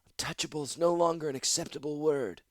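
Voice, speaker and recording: A man voicing a wary, ragged outcast woman who speaks in a hushed, prim, raised voice. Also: a little high, big pitch swings, a little fast, breathy edge, dry recording, studio quality, voice pushed up.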